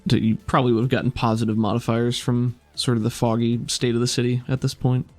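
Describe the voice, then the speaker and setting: A man's voice: medium pitch, little pitch movement, quick pace, darkish tone, soft background music. A man narrating and explaining rules as game master of a tabletop roleplaying game.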